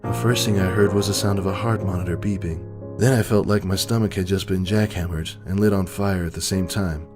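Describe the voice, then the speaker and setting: A man's voice: low voice, steady tone, brisk. A man giving an earnest, solemn dramatic narration of a first-person story. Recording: background music.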